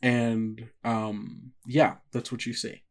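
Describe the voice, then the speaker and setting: A man running a tabletop game as game master, narrating in a halting way full of fillers. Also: mid-range voice, little pitch movement.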